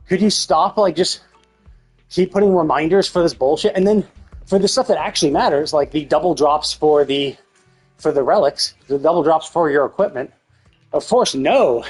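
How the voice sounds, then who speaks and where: A man livestreaming a video game with casual, sweary, exasperated commentary in a husky voice. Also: a little high, expressive, normal speed, a little mellow, faint music behind.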